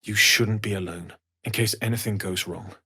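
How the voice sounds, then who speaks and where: A man's voice: fairly low pitch, fairly even intonation, moderate pace, pushed and bright, breathy edge, no room sound, clean studio sound, full character voice. An actor playing an earnest, kindly young male blacksmith refugee in a fantasy video game, speaking sincerely and politely with plenty of expression. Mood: helpful, thoughtful. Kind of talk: scripted fantasy game dialogue.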